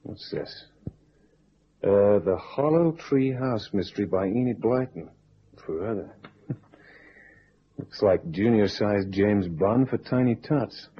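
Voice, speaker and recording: Brusque detective, dull old radio sound. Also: male voice, lowish voice, expressive. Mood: wry, impatient. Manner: brusque, sardonic, halting. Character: stubborn irritable male private investigator, brusque and wry. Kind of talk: old-time radio drama acting. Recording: dull vintage radio recording, faint hiss.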